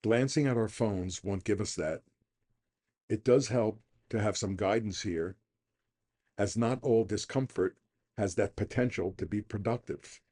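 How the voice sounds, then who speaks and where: A man's voice: fairly low pitch, a little slow, warm tone. A middle-aged or older man reading a scripted daily reflection for a recovery podcast.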